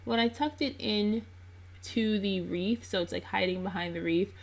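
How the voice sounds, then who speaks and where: A woman's voice: mid-range voice, moderate pace. A woman narrating a crafting video in a casual, chatty voice-over. She sounds congested and under the weather. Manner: chatty and relaxed, with frequent ums. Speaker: scrapbook crafter narrating her process video.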